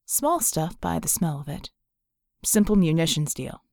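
A woman's voice: low-pitched, very animated, unhurried, vocal fry, a little mellow, no echo, studio quality, voice pushed down, heavily acted. A woman voicing a cool, assured, streetwise male operative in an audiobook, laid-back and matter-of-fact. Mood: cool, assessing. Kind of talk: audiobook character dialogue.

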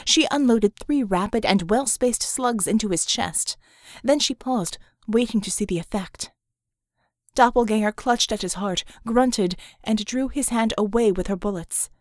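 A woman's voice: fairly high pitch, unhurried, a little mellow, no room sound, studio quality. A woman narrating action fiction as an audiobook, reading scripted prose dramatically.

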